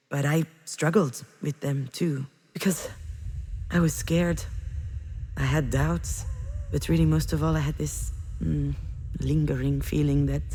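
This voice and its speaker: A woman's voice: rumbling low, mellow, a little hiss or hum. A woman playing a damaged, grieving mother in a narrative video game, confiding and hesitant as she reflects. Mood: wistful, pained.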